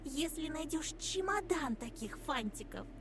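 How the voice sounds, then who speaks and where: Playful taunting fox girl, game character, high lilting voice, noisy ambience behind it. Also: expressive, moderate pace. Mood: teasing. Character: teasing, mysterious young fox-masked girl in a dark forest. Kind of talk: visual novel game voice acting.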